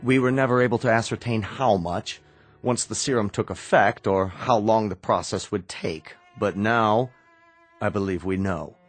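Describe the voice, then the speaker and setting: An actor in a radio drama playing a stern, sardonic medical researcher who speaks in a measured, commanding way. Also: male voice, fairly low pitch, soft background music, close to an everyday voice. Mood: grave, explanatory.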